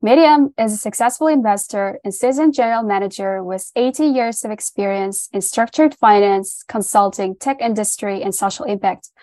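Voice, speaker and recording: A woman's voice: medium pitch, moderate pace, hardly any pauses, warm tone, no room sound. A woman hosting a podcast, reading guest introductions and asking questions in a polite, upbeat way. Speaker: podcast host. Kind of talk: scripted intro and interview questions.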